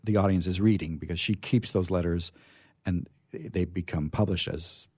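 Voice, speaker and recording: A man hosting a casual literary discussion, explaining things in a halting, hesitant way. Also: low voice, darkish tone, slightly rough, no room sound, studio quality. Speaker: host.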